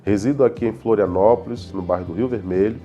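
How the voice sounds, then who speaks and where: A man's voice: on the low side, quick pace, dark tone, dull audio. A man giving an earnest, devout personal testimonial as a student. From Salvador, Bahia, Brazil. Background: background guitar music.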